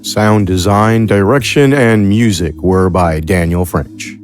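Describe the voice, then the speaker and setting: A man with a low voice reads scripted credits as an announcer. He takes his time, and music plays clearly behind him. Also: slow. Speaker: announcer reading cast credits.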